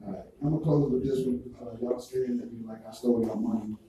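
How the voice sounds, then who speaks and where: A man with a Jamaican accent doing stand-up, talking animatedly and breaking into loud character voices. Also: medium pitch, fairly even intonation, fast talker. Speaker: stand-up comedian. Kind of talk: stand-up routine. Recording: roomy echo, distant mic, faint noise.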